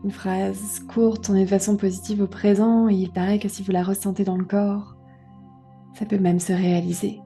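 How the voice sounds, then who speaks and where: Mellow, even-toned woman guiding meditation. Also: mid-range voice, moderate pace, faint music behind. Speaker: yoga nidra guide. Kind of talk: guided relaxation meditation.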